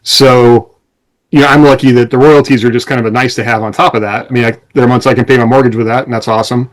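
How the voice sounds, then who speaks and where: A man speaks as a podcast co-host in casual conversation. His delivery rambles a little as he explains things, with a middling pitch and an everyday conversational tone. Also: fairly even intonation, fast talker, low-quality recording. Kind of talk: casual conversation among co-hosts.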